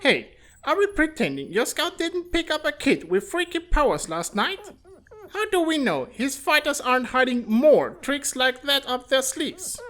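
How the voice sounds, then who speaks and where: A woman voices a brash commander in a fantasy comic dub. The voice sits a bit high and moves around animatedly, dripping with sarcasm. The delivery is blunt, cynical and out for itself. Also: very animated, measured pace, a bit bright, exaggerated voice. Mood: skeptical. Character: brash, cynical, self-serving mercenary-like commander. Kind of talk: dramatic comic fandub acting.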